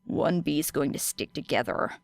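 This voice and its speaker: A woman voicing a grumpy, sardonic teenage boy student with deadpan, grumbling delivery in an audiobook. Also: low voice, moderate pace, dry recording, clean studio sound, pitched below the performer's own voice. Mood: grim. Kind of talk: audiobook character dialogue.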